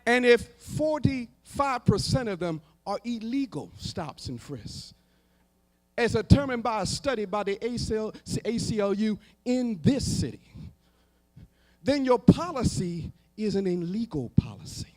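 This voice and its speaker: An American man preaching an impassioned sermon at a live event, emphatic and rhythmic, building on repeated phrases and moving between hushed lines and shouted, half-sung cries. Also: high voice, lively intonation, a little slow. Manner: emphatic, rhythmic repetition for rhetorical effect. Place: live public event before a gathering.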